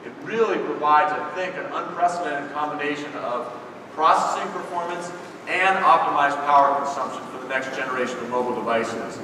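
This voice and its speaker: Man presenting on a keynote stage, polished sales delivery, a touch high, caught from far back in a long-ringing hall. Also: fairly quick, pushed and bright. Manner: polished, promotional. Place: large conference hall stage. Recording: echoey hall from far off with noise.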